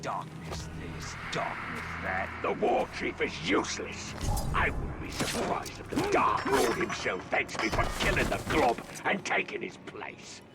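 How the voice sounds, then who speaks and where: An actor playing a boastful, sneering, brutish orc captain in a video game cutscene, with a gravelly, swaggering delivery. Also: male voice, medium pitch, big pitch swings, a little slow. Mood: scornful, boastful. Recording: loud game music behind.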